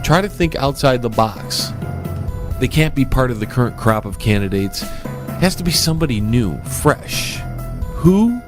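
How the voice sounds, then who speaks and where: Animated male talk radio host. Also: mid-range voice, unhurried, over music. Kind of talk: opinionated talk radio monologue and call-in.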